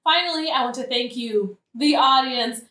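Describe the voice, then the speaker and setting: High, manic young madwoman, played by an actress. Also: moderate pace, pushed and bright. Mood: giddy showbiz cheer. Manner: rambling, theatrical, breaks into song. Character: grief-mad young woman, manic, singing, mock showbiz cheer. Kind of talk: satirical modern audio play acting. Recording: echoey room.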